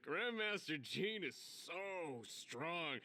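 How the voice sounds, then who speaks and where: A man's voice: high-pitched, very animated, drawn-out words, gravelly, pushed and bright, heavily acted. A man playing a carefree tavern patron in a video game, speaking with emphatic admiration. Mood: admiring. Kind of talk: video game character acting.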